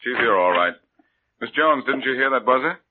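A man's voice: medium pitch, animated, fairly quick, natural voice. An actor playing a wisecracking male detective who questions people with dry sarcastic quips, in 1940s radio acting. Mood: probing. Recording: tinny lo-fi old radio recording with faint hiss.

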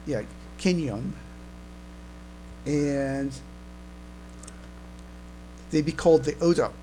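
A man co-hosting a casual fan podcast, talking haltingly and often repeating and restarting his words. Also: fairly high pitch, mellow, low-quality recording. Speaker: podcast co-host. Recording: clear background noise, mains hum.

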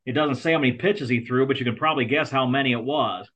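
Bright, forceful American man talking sports. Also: medium pitch, fast. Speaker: guest sports contributor, college athletic communications director. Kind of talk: conversational sports talk. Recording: slightly dull, lo-fi sound.

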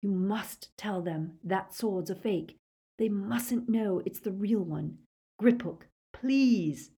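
A woman's voice: mid-range voice, expressive, brisk, a little mellow, slightly rough, voice pushed up. A woman voicing a desperate, urgent teenage boy hero in an audiobook. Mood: urgent, pleading.

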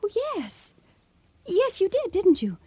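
An actress playing a sweet, breezy, evasive young wife in a radio drama. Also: female voice, very high-pitched, wildly swooping pitch, slow pace, mellow, dry recording, heavily acted. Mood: nervously cheerful.

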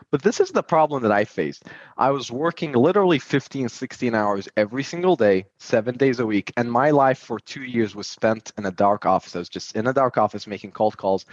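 Young man, originally from Iraq, podcast guest; rapid, energetic storyteller on a dull, dry remote line. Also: medium pitch, no room sound. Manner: fast, energetic storytelling with quoted inner dialogue. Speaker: guest, real estate agent and investor. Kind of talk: podcast interview, telling his story.

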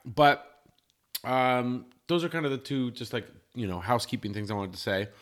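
Lively, bright-voiced man chatting. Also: medium pitch, normal speed. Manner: lively, laughing storyteller with loose fillers. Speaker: podcast host. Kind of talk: casual chat.

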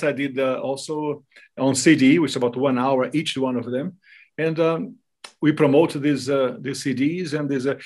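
A man's voice: fairly high pitch, husky. A man from Brazil, a native Portuguese speaker with a Brazilian accent, explaining things as a guest speaker in an interview. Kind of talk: interview talk, explaining devotional topics.

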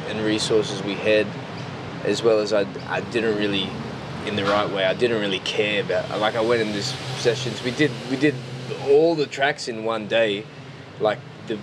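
An Australian man in his early thirties talks in a fairly low voice. He is informal and rambling, peppering his interview answers with filler phrases. Clear background noise runs under the recording. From Newcastle, Australia. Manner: informal, rambling, frequent "like" and "you know". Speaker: musician, interview guest. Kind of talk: casual conversational interview.

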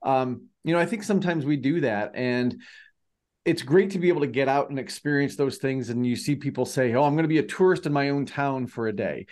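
An American man with a medium-pitched voice co-hosts a podcast. His talk is casual and conversational, as if chatting with a colleague. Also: a little fast, darkish tone. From United States. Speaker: podcast co-host.

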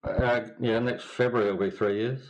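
A man's voice: mid-range voice, fairly even intonation, moderate pace, low-quality recording. A middle-aged man with a New Zealand accent, a winemaker guest chatting in an interview with a dry, laconic manner. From England. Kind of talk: casual interview chat.

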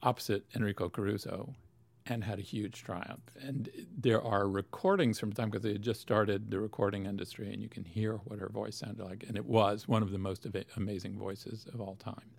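A man's voice: lowish voice, fairly even intonation, fairly quick, vocal fry. A man answering interview questions about opera in a thoughtful way, with many fillers and restarts. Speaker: opera expert guest.

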